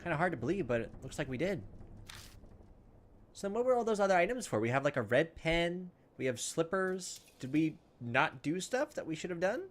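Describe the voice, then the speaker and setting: A man hosting a let's play, reading game text aloud and adding animated, casual asides. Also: fairly high pitch, a little fast. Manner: animated, casual asides between read-out lines. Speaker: gameplay host. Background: game music.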